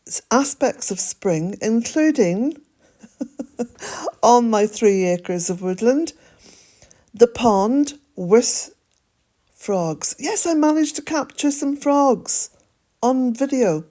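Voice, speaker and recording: Slow, soft-spoken Irish woman. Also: fairly high pitch, lively intonation, no echo. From Ireland. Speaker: vlogger. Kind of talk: gentle narrated garden vlog. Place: outdoors in a woodland garden, birds nearby.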